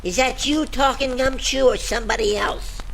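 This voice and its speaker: A man's voice: extremely high pitch, steady tone, moderate pace, gravelly, fairly bright tone, slight background noise, full character voice, low-quality recording. A man playing a streetwise, unimpressed tough guy with a needling, slangy delivery in comic old-time radio detective style. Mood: amused, taunting. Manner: needling, mocking, pedantic, slangy.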